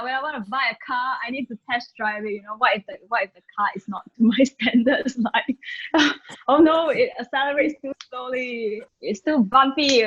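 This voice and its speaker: A woman's voice: on the high side, a bit bright, highs cut off, slight background noise. A woman in her twenties with a Singaporean accent, speaking frankly and laughing as a guest on a casual panel discussion. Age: 20s.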